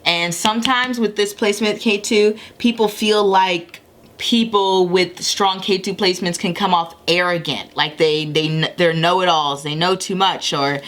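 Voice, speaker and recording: A woman's voice: lowish voice, fairly even intonation, unhurried, fairly bright tone. A woman giving a casual tarot and astrology reading to her viewers, talking in a chatty, rambling way with many self-corrections and frequent "okay?" check-ins.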